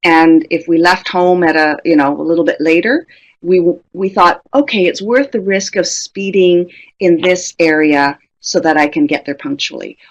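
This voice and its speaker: A woman's voice: fairly low pitch, normal speed. A middle-aged woman presenting a professional webinar in a conversational, reflective way, putting questions to her audience. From Canada.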